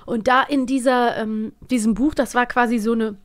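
Woman in her thirties, fairly high voice, easy chatty podcast co-host who fills pauses with little hesitations. Speaks close into a studio mic in relaxed conversation. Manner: chatty, animated, many fillers. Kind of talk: casual chat.

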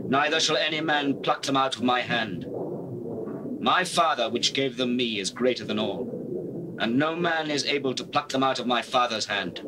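An actor playing a solemn, authoritative holy teacher in dramatized scripture, declaiming in measured phrases with deliberate pauses. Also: male voice, mid-range voice, lightly acted. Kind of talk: dramatized scripture, classical stage delivery. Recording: faintly noisy old cassette.

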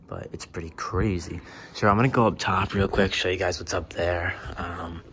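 A man's voice: deep voice, steady tone, moderate pace, background noise. A young man exploring an abandoned church, talking casually to his camera with lots of fillers. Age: young adult. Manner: laid-back, rambling, lots of fillers like "um" and "you know". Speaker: urban explorer vlogger. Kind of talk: casual vlog walkthrough. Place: large abandoned church, indoors. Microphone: handheld camera, on the move.

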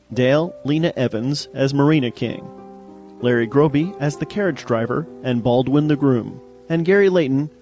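A man's voice: medium pitch, darkish tone. A man reading scripted closing credits as an audio drama announcer. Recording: muffled audio with music bed.

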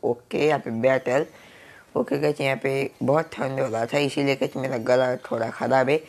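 A young man with a Hindi accent gives casual play-by-play commentary on a video game, his voice hoarse from a cold. He sounds gravelly and flat and drops "guys" between nearly every phrase. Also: medium pitch, normal speed. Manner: filler-heavy, keeps saying "guys". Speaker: gaming YouTuber.

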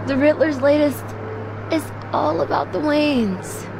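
A tough, wounded young woman with a streetwise, defiant way of talking. Her voice sits a little high, with clear ambient noise behind it. It is played as cinematic audio drama. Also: fairly even intonation, a little slow. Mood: urgent. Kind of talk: film-style audio drama acting.

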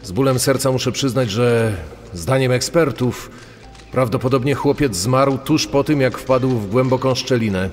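A man's voice: mid-range voice, moderate pace, close to an everyday voice. Man acting a grave official in a hall. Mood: grave, formal. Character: official spokesman solemnly announcing a search's failure. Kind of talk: dramatized official statement in a true-crime podcast. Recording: long hall reverb, music underneath.

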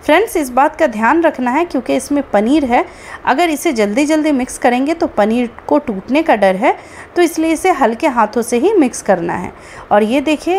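High-pitched woman narrating a recipe. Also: a little mellow, slight background noise. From India. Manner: explanatory, addresses viewers as friends. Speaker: cooking channel host. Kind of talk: recipe narration, step-by-step instruction.

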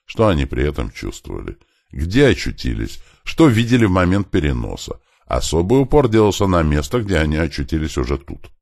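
A man reading a novel as an audiobook, in a scripted, expressive narration. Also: very deep voice, brisk, vocal fry, dry recording, clean studio sound.

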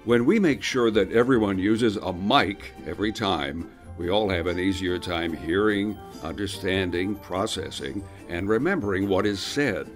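A man's voice: low voice, lively intonation, normal speed, few pauses, over music. A man narrating a scripted awareness video in an upbeat, lightly joking voice-over.

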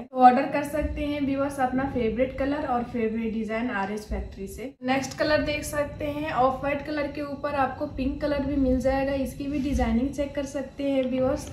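A high-voiced woman runs through clothing pieces in an even sales patter, calling out to viewers again and again. Her voice rings in a roomy, echoey shop with a faint hum of ambience behind it. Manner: steady promotional patter addressing viewers. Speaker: shop presenter. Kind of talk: sales pitch showing suits.

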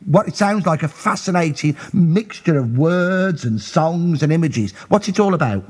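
A male radio presenter interviewing a guest in the studio, brisk and friendly in his questions. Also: on the high side, expressive, slightly rough.

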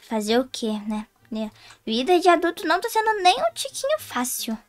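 A woman's voice: extremely high pitch, big pitch swings, moderate pace. A woman voicing a naive girl who has suddenly become a young adult woman, chatty and thinking aloud with whiny sighs in a roleplay game story. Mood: weary. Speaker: roleplay player voicing Diana.

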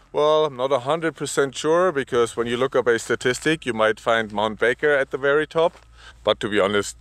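Upbeat man talking outdoors, smooth voice. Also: lowish voice, fairly bright tone, no room sound. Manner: upbeat, chatty and informal. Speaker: ski vlogger. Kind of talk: casual presenting to camera. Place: outdoors on snowy mountain slopes.

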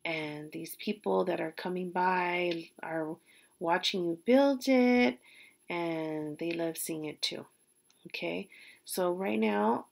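A middle-aged woman teacher leading young children through a calm visualization exercise, talking slowly and checking in with "okay?" after each step, recorded on a laptop webcam in a small bedroom. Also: fairly low pitch, gravelly. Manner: slow, soothing, with frequent "okay?" check-ins. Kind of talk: guided visualization for young students. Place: small bedroom at home, indoors. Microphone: laptop webcam mic.

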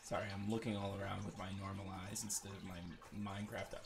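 A man's voice: little pitch movement, fast, creaky. A man giving casual, rambling gameplay commentary with joking asides to viewers. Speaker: gamer recording a playthrough. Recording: noisy, uneven low-level home recording.

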